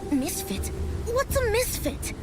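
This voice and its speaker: A woman's voice: very high voice, sing-song, slow, heavily acted. A woman voicing a small, cute companion creature in a video game, childlike, curious and cheeky. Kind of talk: video game cutscene acting. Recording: music behind the voice.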